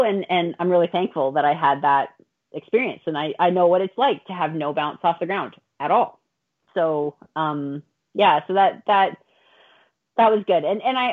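A woman in her late forties, an athlete and coach, talking casually and candidly in an interview, often poking fun at herself. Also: medium pitch. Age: late 40s. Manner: candid, self-deprecating. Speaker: guest, veteran triathlete and running coach. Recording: thin phone-like call audio.

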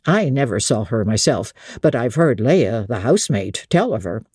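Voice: mid-range voice, very animated, normal speed, a little mellow, breathy edge, dry recording, studio quality, voice pushed down. A reader voicing an older male country innkeeper who tells gossip in a hesitant, confiding rustic way, in an audiobook. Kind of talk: audiobook character reading.

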